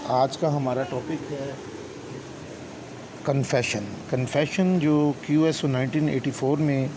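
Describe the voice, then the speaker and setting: A man with a Pakistani accent giving an explanatory law lecture, deliberate and instructional, mixing in English legal terms. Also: mid-range voice. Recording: clear steady background noise, hum.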